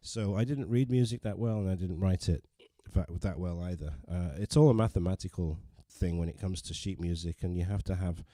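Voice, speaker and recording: A man's voice: low voice, a little fast, hardly any pauses, mellow, no echo. A man hosting a casual livestream from his living room, rambling and self-deprecating, with many restarts as he chats with viewers. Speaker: livestream host and pianist. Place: living room, digital piano.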